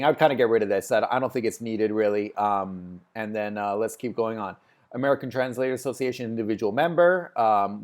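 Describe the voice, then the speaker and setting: A man talks through a screen share at his desk in a relaxed, rambling way. He thinks aloud and fills gaps with "um" and "uh," restarting his sentences as he goes. His voice sits at an ordinary middle pitch. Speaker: host reviewing a website. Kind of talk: casual screen-share critique.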